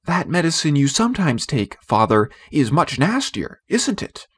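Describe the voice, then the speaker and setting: Guy doing a keen little girl from a storybook, higher voice, earnest and helpful. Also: sing-song, moderate pace, husky. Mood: eager. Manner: earnest, eager. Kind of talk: storybook character voice.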